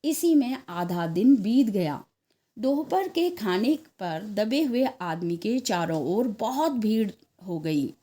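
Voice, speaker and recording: A woman narrating a scripted story expressively, in a measured way, as a storyteller. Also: mid-range voice, sing-song, warm tone. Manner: measured, acts out dialogue.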